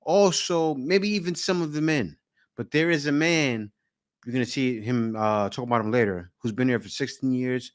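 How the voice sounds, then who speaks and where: Man, reaction host, casual direct commentary, dry close sound, middle pitch. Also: moderate pace, no echo. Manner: relaxed, direct talk to viewers.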